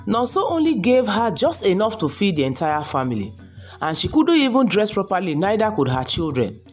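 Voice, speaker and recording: A woman's voice: mid-range voice, big pitch swings, brisk. A woman storyteller reading a scripted folktale narration. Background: music behind the voice.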